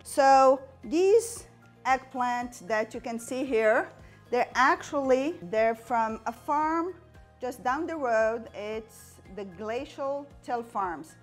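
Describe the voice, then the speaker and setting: A woman in her forties from Morocco, hosting a cooking show and explaining each step as she cooks in a home kitchen on a lapel mic. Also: fairly high pitch. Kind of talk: cooking demonstration.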